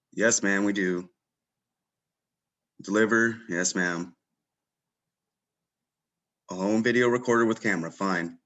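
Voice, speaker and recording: A man's voice: lowish voice, fairly bright tone. A man playing a polite, businesslike store salesman taking a phone order in a comedic audio drama, clipped and matter-of-fact.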